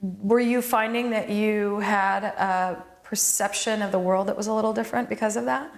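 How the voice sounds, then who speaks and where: A woman's voice: medium pitch, fairly even intonation, moderate pace, vocal fry. A woman hosting an interview, asking questions and encouraging her guest with short replies. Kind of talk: casual conversational interview.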